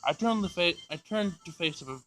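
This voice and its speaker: A man's voice: high-pitched, lively intonation, normal speed, a bit bright. A man reading a visual novel aloud for a gaming video, stumbling and repeating words, with asides of his own. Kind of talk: let's play read-aloud with asides. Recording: music behind the voice. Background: music.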